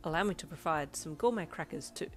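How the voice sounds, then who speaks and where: A woman's voice: sits low, fast talker, soft background music. A woman voicing a stiff, formal young man with fussy, proper diction in a storybook reading. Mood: stern. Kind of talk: audiobook character voice.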